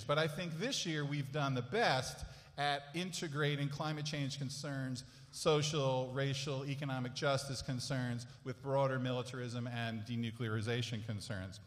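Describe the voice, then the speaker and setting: A man moderating a panel, speaking in a relaxed, self-deprecating way. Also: a little high. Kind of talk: casual, joking introduction. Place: large hall. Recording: echoey hall.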